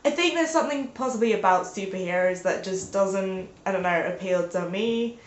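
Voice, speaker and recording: A woman's voice: mid-range voice, moderate pace. A young woman vlogging from home, chatting casually with lots of "like"s and playful outbursts, sounding stuffed up from a sinus infection. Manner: rambling, peppered with "like", playful exclamations. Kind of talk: casual chatty video talk. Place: indoors at home. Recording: roomy, echoey home recording.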